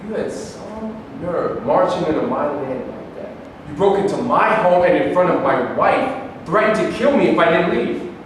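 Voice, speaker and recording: A man's voice: on the high side, expressive, moderate pace, close to an everyday voice. A man playing an indignant, accusing dispossessed Palestinian in a declamatory staged reading of a play. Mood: angry, accusing. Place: reverberant indoor hall, staged reading. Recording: echoey hall from far off, hissy.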